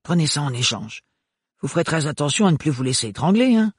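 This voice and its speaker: A husky-voiced male narrator voicing a gruff, burly, blustering man in an audiobook. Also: on the high side, big pitch swings, fast, no echo, clean studio sound. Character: gruff burly working man, loyal and rough.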